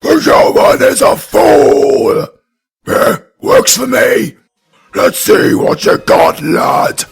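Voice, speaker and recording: A British man playing a lewd, raucous, booze-loving henchman with a very raspy, gravelly voice in an audio comedy. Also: high-pitched, wildly swooping pitch, extremely slow, faint music behind, exaggerated voice.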